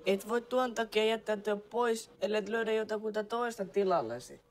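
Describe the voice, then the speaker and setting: A woman's voice: mid-range voice, lightly acted. A woman voicing a sensible, fair-minded young hero chick in a cartoon fandub. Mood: cautious.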